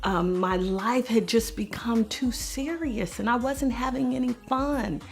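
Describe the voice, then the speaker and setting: A woman's voice: on the high side, a little slow, creaky, husky, faint music behind. Woman reflecting in a personal interview. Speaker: singer in a family group.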